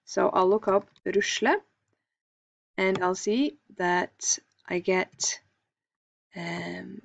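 A Norwegian woman teaching a screen-recorded lesson, explaining calmly and thinking aloud with small fillers. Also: lowish voice, extremely slow, creaky.

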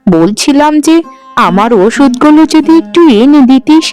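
A woman's voice: high voice, expressive, normal speed, darkish tone. A woman playing a meek, ailing old mother in a cartoon, plaintive and self-pitying. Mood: plaintive, resigned. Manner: plaintive, submissive. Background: faint music.

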